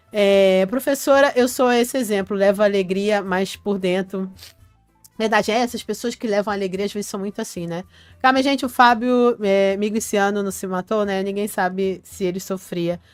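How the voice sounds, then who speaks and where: A woman's voice: mid-range voice, clear, resonant tone, no room sound. A woman hosting a casual live stream, reading viewers' comments aloud and answering them in a chatty way.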